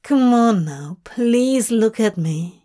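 A woman's voice: a little high, animated, takes it slowly, warm tone. A woman voicing a sardonic male demon in a story, blustering, exasperated and reassuring by turns. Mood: gentle concern.

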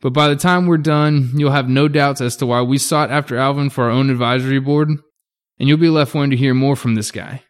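A man's voice: mid-range voice, fairly even intonation, brisk, clear, resonant tone. A man hosting a podcast, introducing the episode and welcoming a guest in an upbeat, enthusiastic way. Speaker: podcast host. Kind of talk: scripted episode intro and interview questions.

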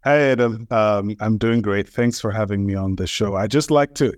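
A middle-aged man from Ghana speaks as an interview guest, talking eagerly with plenty of ums and restarts. His voice sits on the low side, and the recording is dry and close. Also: normal speed, dry recording. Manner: enthusiastic, frequent fillers and restarts. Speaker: guest, sports marketer. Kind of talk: conversational interview answers.

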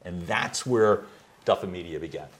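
Loud, lively middle-aged man talking. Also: deep voice, a little hiss or hum. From United States. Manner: loud, animated storyteller with quick laughs. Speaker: guest, executive voice coach. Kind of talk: conversational interview. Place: his home.

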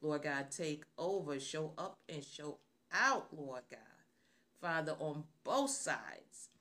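A woman's voice: low voice, expressive, takes it slowly, a bit bright. A middle-aged woman preaching on the radio, opening with prayer and then asking rhetorical questions and repeating phrases.

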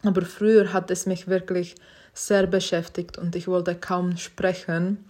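A woman's voice: fairly low pitch, level tone, a little slow, a little mellow. A young woman hosting her own podcast, speaking German as a second language in a hesitant, reflective way with many fillers and restarts. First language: not German. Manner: self-reflective, encouraging. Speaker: podcast host. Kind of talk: casual personal monologue.

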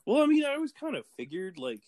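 A man's voice: extremely high pitch, huge pitch swings, quick pace, a little mellow, no echo, clean studio sound. A man with a Texan accent in casual chat as a podcast co-host, laughing easily and hedging his points with frequent fillers. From Texas. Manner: laughs easily, hesitant, lots of "like".

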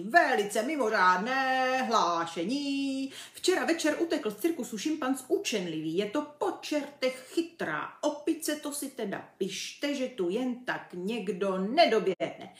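A woman's voice: high-pitched, fairly bright tone, voice pushed up. A woman voicing a cheeky, boastful young male chimpanzee for a children's story, chatty and brash. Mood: mock announcing. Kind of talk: children's story character reading. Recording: roomy echo.

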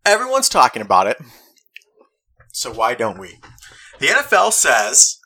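Bright-voiced young man arguing earnestly. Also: medium pitch, huge pitch swings, moderate pace, husky. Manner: earnest, argumentative, reads quotes aloud. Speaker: podcast host. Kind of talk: casual debate chat.

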